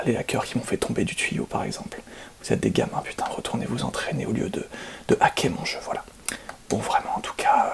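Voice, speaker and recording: A man playing a detestable, boastful speedrun streamer who blames bugs, commentating on his game in a whispered ASMR roleplay with a condescending, rambling manner. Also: mid-range voice. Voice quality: breathy whisper with creaky edges. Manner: smug, condescending, hesitant rambling delivery. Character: detestable, boastful, deluded male speedrun gamer blaming bugs.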